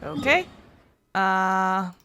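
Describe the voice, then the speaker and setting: A woman's voice: medium pitch, lively intonation, extremely slow, sharp tone, smooth, dry recording. A woman giving casual gameplay commentary as a game streamer.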